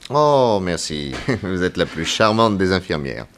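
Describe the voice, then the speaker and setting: A really low-voiced detective, polite but probing, old french radio mystery from the 60s. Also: male voice, sing-song, measured pace, a little hiss or hum, heavily acted. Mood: kindly. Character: probing, courteous male private detective. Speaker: lead actor in a detective serial.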